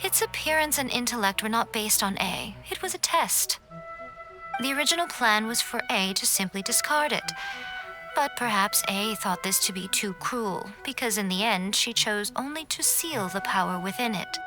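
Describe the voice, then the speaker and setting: A woman voicing a knowing, courteous divine fox familiar in an anime video game, speaking in a measured, formal, gently wry manner. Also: medium pitch, animated, music in the background, lightly acted, poor audio. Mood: explaining, composed.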